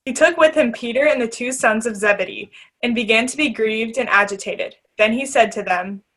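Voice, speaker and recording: A woman's voice: fairly high pitch, normal speed, fairly bright tone, poor audio. A woman reading scripted scripture narration as the narrator of a readers theater.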